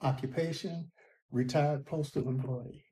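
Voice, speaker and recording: A man's voice: medium pitch, fairly even intonation, measured pace, dark tone, poor audio. A man in his eighties, a retired postal worker, telling his story plainly in a documentary interview. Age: 80s.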